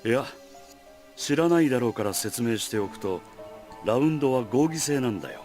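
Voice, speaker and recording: An actor playing a smooth-talking, condescending young leader in a visual novel, talking lightly and casually. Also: male voice, mid-range voice, big pitch swings, very fast, a little mellow, soft background music, close to an everyday voice. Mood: breezy, condescending. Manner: light, casual, looking down on others. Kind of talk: Japanese visual novel voice acting.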